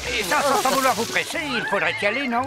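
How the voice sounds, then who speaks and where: A man's voice: very high-pitched, very animated, fast talker. A man playing an officious, nervous royal coachman with a deferential, fussy manner in a French cartoon dub. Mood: nervous, urging. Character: officious, nervous servant driving the royal carriage.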